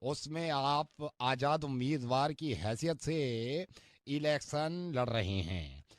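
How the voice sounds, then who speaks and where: A man's voice: mid-range voice, lively intonation, slow, dry recording, studio quality. A man hosting radio prank calls in character, with persistent patter that slips into English phrases. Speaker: radio prank-call host.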